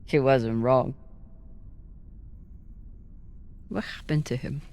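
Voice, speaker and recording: A man playing a steady, reassuring, combat-seasoned spaceship crewmate in a video game, earnest and probing. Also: medium pitch, moderate pace, clean tone, close to an everyday voice. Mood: curious. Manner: earnest, probing, lightly teasing. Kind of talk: dramatic video game dialogue.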